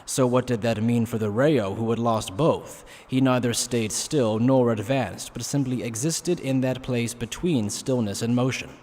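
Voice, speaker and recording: A man's voice: mid-range voice, a little slow, few pauses, darkish tone, slight background noise. A man reading dramatic scripted story narration.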